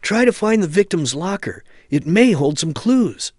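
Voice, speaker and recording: An actor playing a helpful, concerned friend giving earnest hints in an adventure game. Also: male voice, high voice, sing-song, measured pace, darkish tone, dry recording, studio quality, heavily acted. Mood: earnest, advising. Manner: earnest, advising. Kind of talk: adventure game hint dialogue.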